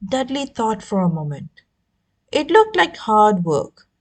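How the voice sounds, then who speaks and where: A woman reading a children's novel aloud as an expressive narrator. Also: mid-range voice, unhurried. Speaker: audiobook reader. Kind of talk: expressive scripted story narration.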